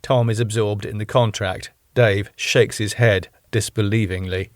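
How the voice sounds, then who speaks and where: A man's voice: fairly low pitch, steady tone, unhurried, dry recording, studio quality. A British man reading stage directions from his own sitcom script in a plain, matter-of-fact way.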